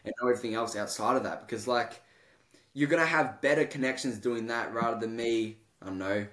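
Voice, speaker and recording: A man's voice: medium pitch, a little fast, a bit bright, poor audio. A young man hosting a podcast, chatting casually with lots of swearing and "bro". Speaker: podcast host. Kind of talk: casual chat.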